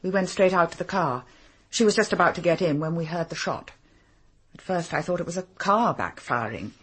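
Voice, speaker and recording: Cool, low-voiced, husky English secretary. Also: female voice, lively intonation, brisk, fairly bright tone. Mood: grave, measured. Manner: formal, clipped, composed. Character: cool, brisk, haughty female secretary to a powerful man. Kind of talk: British radio drama acting.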